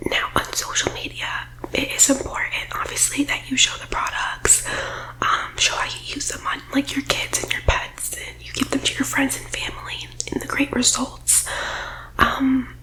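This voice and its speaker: A woman whispering breathily in a persuasive ASMR roleplay, like a team leader giving a sales pitch, close to the microphone. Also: completely flat delivery, moderate pace, pushed and bright, poor audio.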